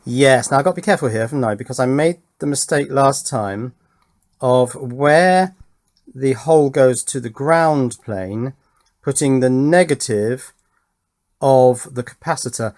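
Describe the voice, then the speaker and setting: A man's voice: mid-range voice, measured pace, a little mellow. Chatty middle-aged man thinking aloud. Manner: chatty, thinking aloud, asking himself tag questions. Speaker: electronics hobbyist presenter. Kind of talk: casual running commentary on a hands-on build.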